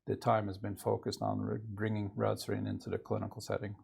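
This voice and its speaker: A man's voice: on the low side, monotone, fast, gravelly, a little mellow, breathy edge. A middle-aged man with a Norwegian accent, answering interview questions in a studio, hesitant and full of filler sounds. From Canada. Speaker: company CEO.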